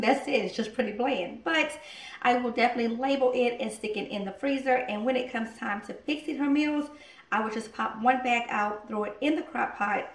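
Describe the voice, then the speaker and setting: A woman in her thirties chatting casually in her home kitchen as she walks through a cooking vlog, relaxed and laughing at herself. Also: on the high side, distant mic. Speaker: vlogger. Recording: echoey kitchen, voice from off-mic.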